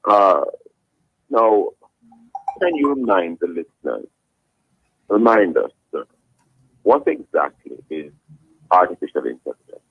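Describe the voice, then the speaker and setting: Low husky radio host. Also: male voice, expressive, a little slow, long pauses, no echo, phone-quality sound. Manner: polite, formal, addresses guest as sir, many restarts. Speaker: radio morning show host. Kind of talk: radio interview. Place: radio studio.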